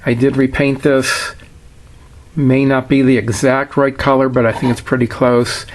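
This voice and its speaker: A man's voice: mid-range voice, level tone, a little slow. A man giving a casual, plainspoken product walkthrough in a matter-of-fact way, like a seller showing an item. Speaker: seller demonstrating a vintage radio.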